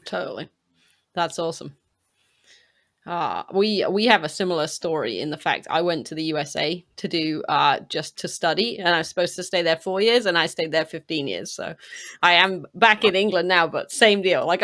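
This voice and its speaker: A woman's voice: fairly low pitch, animated, normal speed, fairly bright tone. Upbeat woman hosting a podcast. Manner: upbeat, brief encouraging replies. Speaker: podcast host. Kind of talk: casual podcast chat.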